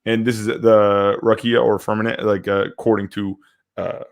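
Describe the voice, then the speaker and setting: A fairly low-pitched man in his twenties, from Syria and Canada, talks casually in a live discussion. He rambles and feels his way through points, often restarting and repeating words. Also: flat delivery, normal speed, gravelly. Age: 20s. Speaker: podcast co-host.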